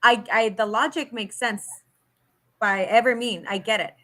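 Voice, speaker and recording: A woman's voice: fairly high pitch, steady tone, moderate pace, a bit bright. A woman hosting a podcast, talking casually and emphatically, giving her opinion and dropping in Spanish words. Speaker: podcast host. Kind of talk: casual chat.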